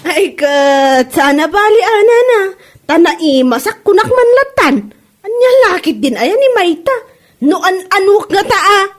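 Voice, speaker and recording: A woman playing a friendly young chili oil seller in a comedy audio drama, with an exclamatory, expressive way of talking, in Ilocano. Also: very high-pitched, unhurried, exaggerated voice. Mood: exasperated.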